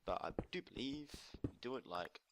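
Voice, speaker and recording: A man casually narrating a building tutorial while playing, murmuring to himself and correcting himself as he goes, his voice a little croaky. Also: medium pitch, expressive, normal speed, background noise. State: slightly croaky from screaming at a concert. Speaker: let's play host.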